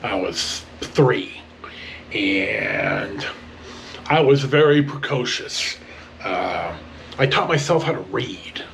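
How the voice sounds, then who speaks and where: An American man telling casual personal stories to camera as a vlog host, in an animated, halting, rambling way. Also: medium pitch, a little hiss or hum. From northern California, United States.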